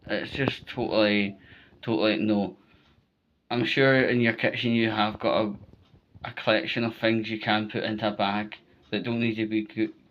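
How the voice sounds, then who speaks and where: A man's voice: on the low side, little pitch movement, moderate pace, lo-fi sound. A Scottish man giving informal, emphatic advice to viewers, repeating his points and often checking in with "right?". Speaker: vlogger giving storm safety advice.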